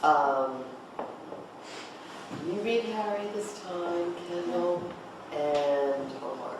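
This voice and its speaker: A man's voice: high-pitched, very slow. A man directing a table read in casual talk, prompting with questions and repeating himself for emphasis. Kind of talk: casual rehearsal discussion and instruction. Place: echoey indoor room at a group table read. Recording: very echoey, distant mic, noisy room.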